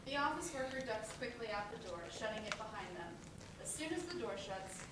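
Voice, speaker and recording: A woman's voice: on the high side, animated, brisk. A woman reading stage directions as the narrator of a play, in scripted narration. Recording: very echoey room, distant mic, loud noise.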